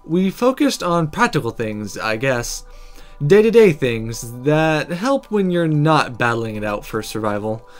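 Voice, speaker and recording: A man's voice: mid-range voice, sing-song, unhurried, soft background music. A man reading the part of an earnest, stubborn young would-be sorcerer, hesitant but defiant.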